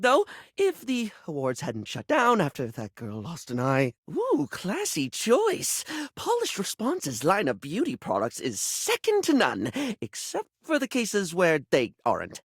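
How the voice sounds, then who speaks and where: Flamboyant, high-voiced, swooping beauty character. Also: male voice, dry recording, studio quality. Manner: theatrical, effusive, fretful, peppered with endearments. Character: flamboyant, fussy male beauty-product character, gushing, anxious to please. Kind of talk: video game character voice acting.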